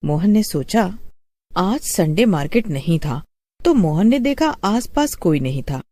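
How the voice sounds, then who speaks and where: A woman's voice: on the low side, warm tone. A woman storyteller reading a scripted horror story as narrator.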